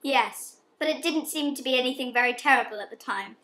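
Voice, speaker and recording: Earnest, guilt-prone young society daughter, high voice, roomy amateur radio-play sound woman performer. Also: fairly quick, bright, forceful tone. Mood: remorseful confession. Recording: echoey room, amateur recording.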